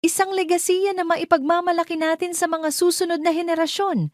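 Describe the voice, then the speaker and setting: A woman's voice: very high voice, little pitch movement, fairly quick, no room sound, studio quality, voice pushed up. Woman voicing a proud Filipino businessman husband. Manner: grand, boastful speechmaking. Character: proud, confident businessman husband, self-important.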